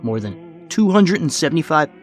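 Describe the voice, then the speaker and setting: A man hosting a podcast with scripted documentary narration in a measured, clearly phrased announcer delivery. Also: on the high side, sing-song, faint music behind. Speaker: podcast host.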